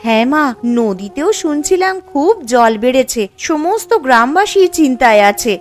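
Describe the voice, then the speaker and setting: A woman voicing a caring young village wife in a cartoon, speaking in a soothing, gentle way. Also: high voice, expressive, moderate pace, soft background music.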